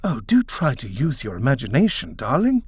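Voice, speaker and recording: A man playing an animated, coaxing husband. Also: medium pitch, normal speed, breathy edge, no room sound, voice pushed up, exaggerated voice. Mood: excited. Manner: persuasive, animated, indulgent. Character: eager, coaxing young British husband, smooth-talking house-hunter. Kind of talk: storybook character reading.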